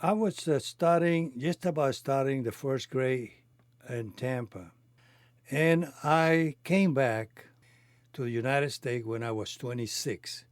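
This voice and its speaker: A man in his eighties from Cuba, a native Spanish speaker with a Cuban accent, recounting his life in halting, non-native phrasing. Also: medium pitch, dry recording. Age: 80s. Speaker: interviewee telling his life story. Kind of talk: reminiscing conversation.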